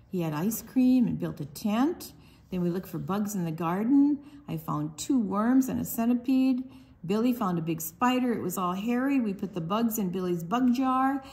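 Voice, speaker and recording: A woman reading a children's picture book aloud, expressively and with deliberate dramatic pauses. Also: medium pitch, a little mellow. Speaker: storytime book reader.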